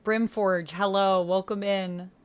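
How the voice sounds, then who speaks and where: A woman's voice: medium pitch, steady tone, takes it slowly, clear, resonant tone. A woman livestreamer talking casually and thinking aloud as she plays a text-based game and talks to her chat. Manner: reads and reacts aloud while talking to chat.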